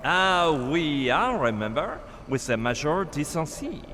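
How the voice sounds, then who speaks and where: A man's voice: on the high side, big pitch swings, unhurried, sharp tone, a little hiss or hum, full character voice. A man playing a fussy French professor with a strong French accent, dropping French words between hesitant fillers. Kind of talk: video game voice acting.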